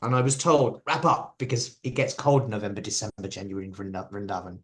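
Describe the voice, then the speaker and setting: A man, likely in his forties, giving a reflective personal testimony, laughing softly and often restarting his sentences. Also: fairly low pitch, fairly even intonation, a little fast. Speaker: devotee sharing his story.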